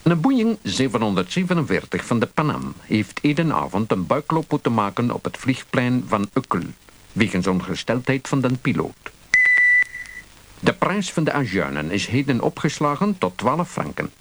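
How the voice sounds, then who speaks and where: An actor with a Flemish accent playing a solemn, deadpan newsreader in a parody radio bulletin. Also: male voice, medium pitch, moderate pace. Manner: deadpan, formal. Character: solemn male newsreader delivering absurd news. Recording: very noisy, crackly radio recording.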